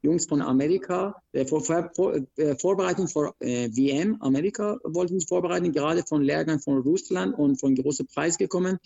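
A man from Iran with an Iranian accent, a wrestler recounting his career in an interview, speaking in halting, broken phrases with many restarts. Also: fairly high pitch, creaky, mellow, lo-fi sound. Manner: broken grammar, frequent restarts and hesitations. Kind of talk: interview, telling his life story.

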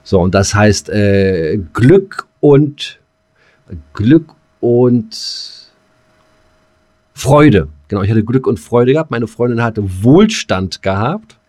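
A man's voice: medium pitch, expressive, takes it slowly, mellow, no room sound. A man chatting as a podcast co-host in a loose, rambling, hesitant way with some crude slang. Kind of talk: casual podcast chat.

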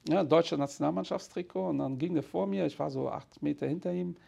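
Gravelly, husky man. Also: on the high side, a little fast, mellow. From Cologne, Germany. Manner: candid, colloquial, anecdotal. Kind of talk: casual conversational storytelling.

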